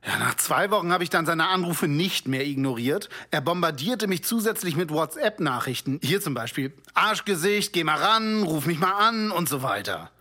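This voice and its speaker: Exasperated ex-colleague, high-pitched, sharp tone, colloquial, animated retelling with mimicry, mock-documentary. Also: male voice, moderate pace, few pauses. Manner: animated, mimics quoted callers. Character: exasperated former colleague recounting pestering phone calls. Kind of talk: colloquial interview account.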